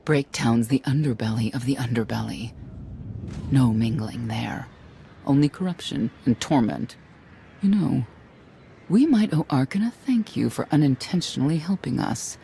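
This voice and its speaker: An actor playing a stern, world-weary knight guide in a video game, speaking in a measured, grim way. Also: male voice, mid-range voice, lively intonation, vocal fry, dark tone, a little hiss or hum.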